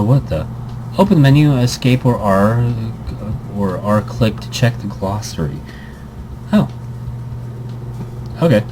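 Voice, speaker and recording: A man doing casual let's play commentary, reading game text aloud and breaking off into rambling asides. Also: fairly low pitch. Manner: rambling, self-interrupting asides. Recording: noisy amateur home recording.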